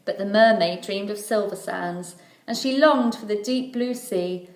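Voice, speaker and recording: A woman reading a children's storybook aloud like a teacher, in measured, rhythmic phrases. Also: mid-range voice. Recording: echoey room.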